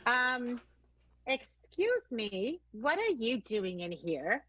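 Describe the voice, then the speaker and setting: A woman's voice: a little high, sing-song, slow, fairly bright tone, dull audio, a little hiss or hum, heavily acted. A woman playing a haughty, scheming older circus performer in an improvised radio play, knowing and theatrical. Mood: suspicious, nosy.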